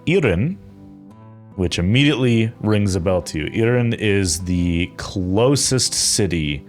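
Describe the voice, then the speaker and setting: Low, gravelly male game master. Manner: halting, thoughtful narration with pauses. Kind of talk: improvised tabletop game narration.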